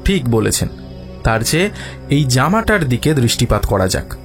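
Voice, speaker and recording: Casting: male actor for sharp, self-assured sleuth, middle register, calm reasoning, audio mystery with musical bed. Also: medium pitch. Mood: sceptical, probing. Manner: measured, reasoning, dryly ironic. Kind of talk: dramatised Bengali detective audio story. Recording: music behind the voice.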